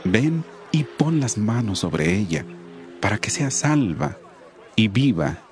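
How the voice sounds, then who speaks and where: A man plays a desperate father of high standing in a Bible drama. He pleads slowly in a lowish voice with widely swinging pitch. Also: very animated, a little mellow, faint music behind, some chatter behind, exaggerated voice. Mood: desperate pleading. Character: desperate father, male synagogue dignitary, humbly pleading. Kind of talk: dramatized scripture audiobook acting.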